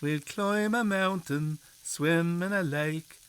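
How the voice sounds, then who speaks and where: A man's voice: fairly high pitch, takes it slowly, a bit bright, smooth. A man playing a playful, doting Irish husband in his sixties, jokey and charming, in a radio drama.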